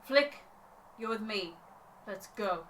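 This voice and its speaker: A woman's voice: mid-range voice, animated, slow, background noise. A woman in a game playthrough, chatting casually and reading the game's text aloud. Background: game music.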